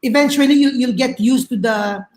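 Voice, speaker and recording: A woman's voice: fairly high pitch, normal speed, a little mellow. A woman with a Filipino accent speaking as a panel guest, hesitant with many fillers and repeated words. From Philippines. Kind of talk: casual panel discussion.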